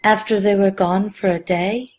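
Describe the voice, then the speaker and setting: A woman playing a gracious, solemn priestess who leads a village, speaking formally and with measured care in an audio drama. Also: medium pitch, fairly even intonation, darkish tone, natural voice, rough recording. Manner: formal, measured, stately speech.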